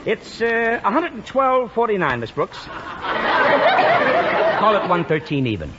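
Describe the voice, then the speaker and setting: High-pitched, slippery American garage mechanic. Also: male voice, wildly swooping pitch, takes it slowly, a loud crowd, heavily acted. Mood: calculating. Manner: wheedling, mock-sincere, hesitating while totting up. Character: fast-talking, slippery garage mechanic, shamelessly overcharging. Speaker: actor. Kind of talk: vintage American radio comedy acting. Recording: noisy muffled old radio broadcast, audience behind.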